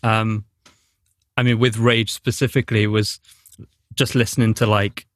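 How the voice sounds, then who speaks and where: A man's voice: lowish voice, level tone, a little slow, no echo, clean studio sound. A young man from Newport with a Welsh accent, chatting casually about acting, with many fillers and restarts. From Wales. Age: young adult. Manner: hesitant, lots of fillers and restarts. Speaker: drama graduate. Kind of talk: casual chat.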